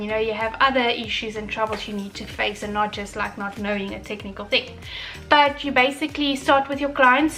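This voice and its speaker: A young woman from South Africa with an Afrikaans accent, chatting casually and reflectively on her own channel, often pausing with fillers and restarts. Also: on the high side, sharp tone. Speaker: vlogger. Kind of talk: casual chat.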